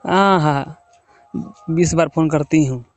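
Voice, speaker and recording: A man's voice: fairly high pitch, takes it slowly, faint music behind. A young man from the of India, chatting casually with his wife, teasing and coaxing. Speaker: husband working away from home. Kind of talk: casual phone chat.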